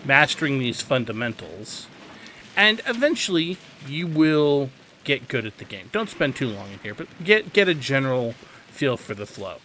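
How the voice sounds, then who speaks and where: A man hosts a video game guide in a bright, forceful voice. His pitch moves freely as he explains things with emphatic opinions and instruction. Faint game ambience of rain sits behind him. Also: sing-song, normal speed, a little hiss or hum. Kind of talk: casual game tutorial commentary.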